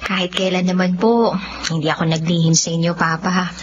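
A woman's voice: on the low side, little pitch movement, a little fast, background noise, natural voice. Woman acting affectionate young sweetheart, slightly muffled. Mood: respectful. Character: affectionate young blind woman newly in love. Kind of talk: Filipino radio romance drama acting.

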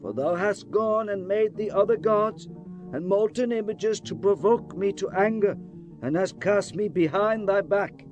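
An actor playing a stern, solemn old prophet pronouncing doom in a grave, declamatory dramatized scripture reading. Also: male voice, sits high, unhurried, a little mellow. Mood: stern, reproachful. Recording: music bed behind the voice.

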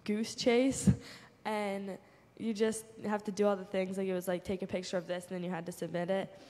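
A woman's voice: medium pitch, flat delivery, moderate pace, darkish tone. A young woman casually recounting a youth trip to a congregation, with lots of "like" as she talks. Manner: chatty, filler-heavy. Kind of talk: casual report. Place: large church sanctuary. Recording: reverberant hall, faint ambience.

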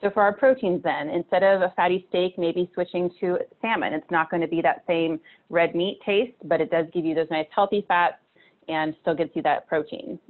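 A woman in her thirties with a low voice talks through nutrition slides in a relaxed, chatty way, full of fillers and restarts. She speaks into a webcam from a small room at home. Her audio comes through a narrow, tinny video call line. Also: moderate pace. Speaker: nutrition presenter. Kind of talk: casual slide-based nutrition talk.

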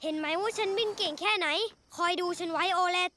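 Woman voicing a boastful, very high-pitched boy superhero. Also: quick pace. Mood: boastful, excited. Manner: boastful, energetic. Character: boastful, eager young boy cat superhero. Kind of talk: children's cartoon dub. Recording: loud children's music behind.

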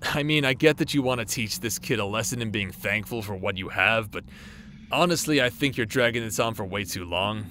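A man's voice: on the low side, a little fast, pushed and bright, a little hiss or hum. A man playing a casual, mocking figment of someone's thoughts in an audio drama, blunt and sardonic. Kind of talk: audio roleplay drama acting.

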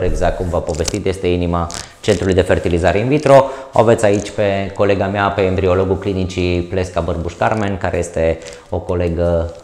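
Low-voiced Romanian doctor in an echoey clinic. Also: male voice, darkish tone. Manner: measured, explanatory, with frequent hesitations. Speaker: fertility clinic doctor giving a tour. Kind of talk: explanatory tour commentary. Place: clinic corridors and lab, indoors.

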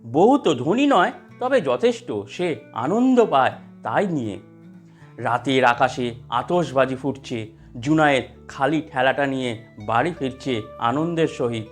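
A man narrating a scripted animated story in an expressive storytelling manner. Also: medium pitch, a little slow. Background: music.

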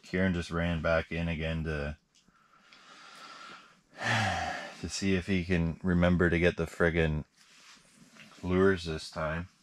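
A man with a very low voice talks casually on a frozen lake. He teases his friends, swears lightly and laughs a lot. He is caught on a camera mic outdoors. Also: little pitch movement, slow, pauses often. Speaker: vlog host.

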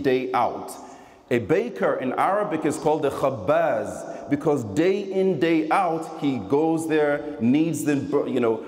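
A man's voice: on the high side, darkish tone. Man preaching in an echoing hall. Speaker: preacher giving a Friday sermon. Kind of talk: sermon. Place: large mosque prayer hall, indoors. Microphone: microphone on a stand. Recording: echoey hall with long reverb.

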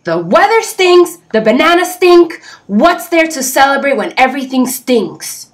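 A woman's voice: high-pitched, very animated, a little slow, voice pushed up. A woman voicing a grumpy young male monkey in a storybook, snappy and full of complaint. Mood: grumpy, exasperated.

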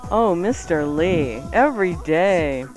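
Woman radio cohost, playful chatty banter, really animated pitch, music playing. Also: slow. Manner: playful, giggly. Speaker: radio show co-host. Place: radio studio.

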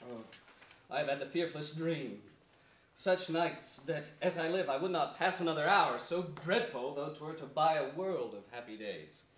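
A man's voice: on the high side, expressive, moderate pace, a bit bright. A young actor playing an anguished, grieving captive king, defiant and prophetic, declaiming in a theatrical Shakespearean stage reading. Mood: troubled. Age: young adult. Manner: declamatory, lamenting, formal. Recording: echoey, distant theatre stage recording.

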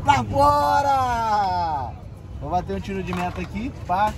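A man with a Carioca accent vlogging from an outdoor flea market, talking excitedly in quick slangy bursts and exclaiming often. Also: high-pitched. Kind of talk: casual handheld market vlog. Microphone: phone camera held in hand.

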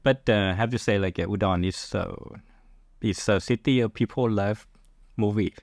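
A man's voice: fairly low pitch, normal speed, vocal fry, a little hiss or hum. A middle-aged man from Thailand with a Thai accent, answering casually as an interview guest, often searching for words. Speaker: podcast guest, film director.

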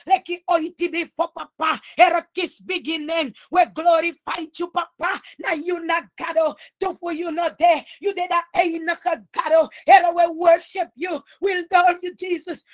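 A woman praying in an impassioned charismatic style, pleading and crying out in repeated phrases. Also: very high-pitched. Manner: pleading, repetitive, often crying out. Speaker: person leading fervent prayer.

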